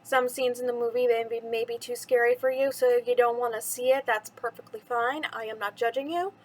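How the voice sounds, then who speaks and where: A woman's voice: a little high, steady tone, brisk, darkish tone. A woman hosting a bedtime story reading for children, chatty and addressing the young listeners directly.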